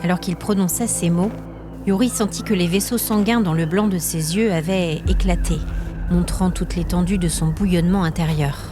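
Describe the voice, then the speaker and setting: Woman storyteller, lowish voice, reading scripted fiction in a literary way, music underneath. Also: steady tone, normal speed. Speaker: narrator.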